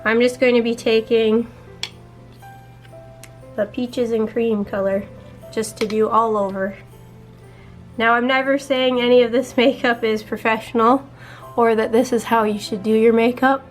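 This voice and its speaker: A young woman chatting casually while doing her makeup, her voice a little raspy, walking through each step in a relaxed way. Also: a little high, unhurried, long pauses, faint music behind. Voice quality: slightly raspy. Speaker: beauty vlogger.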